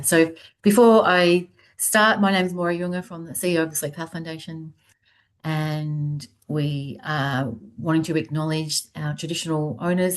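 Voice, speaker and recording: An Australian woman hosting an online webinar in a chatty, loose way, often restarting her sentences, speaking over a video call. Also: sits low. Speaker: webinar host.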